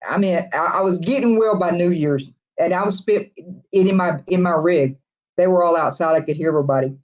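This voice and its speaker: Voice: medium pitch, fairly quick, a little mellow. A livestream host rambles through viewer comments in casual chat. The host chuckles often and restarts sentences a lot. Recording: dull lo-fi livestream audio.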